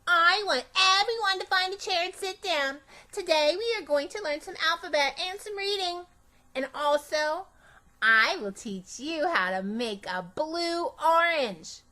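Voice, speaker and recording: A woman voicing a chatty, imaginative, bossy little girl in an animated children's storybook read-aloud, with childish, emphatic phrasing. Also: very high-pitched, a little slow, voice pushed up, full character voice. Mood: bossy, proud.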